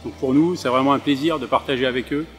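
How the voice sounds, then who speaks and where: A man on staff at a military technical school, briskly explaining it in an interview. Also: medium pitch, steady tone, music in the background. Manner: brisk, informative.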